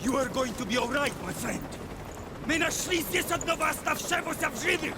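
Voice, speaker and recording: An actor playing an urgent Russian soldier rescuing the wounded in a video game, with a Russian accent. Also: male voice, very high voice, animated, fairly quick, very noisy. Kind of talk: scripted video game drama shouting.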